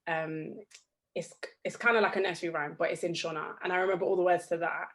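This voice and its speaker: A young woman from Zimbabwe with a somewhat low voice chats as a guest over a video call. She pauses often with ums and soft laughs as she thinks. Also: flat delivery. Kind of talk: casual interview answers.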